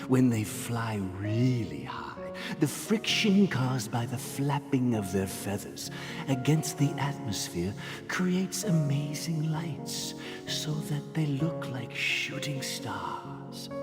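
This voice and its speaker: A man voicing a wise, gentle older father figure telling stories in a video game, patient and measured. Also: medium pitch. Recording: loud music behind the voice. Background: loud background music.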